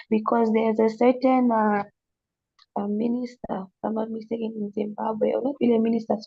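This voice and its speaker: A Zambian woman with a slight mixed accent, speaking earnestly on a panel, pausing and restarting as she thinks. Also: mid-range voice, creaky, breathy edge, dull audio. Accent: slight non-Zambian accent from family. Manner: earnest, thoughtful, halting with restarts. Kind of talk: panel discussion.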